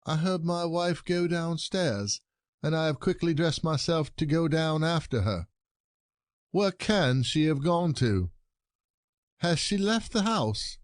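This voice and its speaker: A man as a wronged husband, fairly high voice, dry recording, indignant, declamatory, stage comedy. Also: lively intonation, slow pace, no echo. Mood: suspicious. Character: aggrieved, suspicious husband, self-pitying and indignant. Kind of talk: audio play acting of classic French comedy.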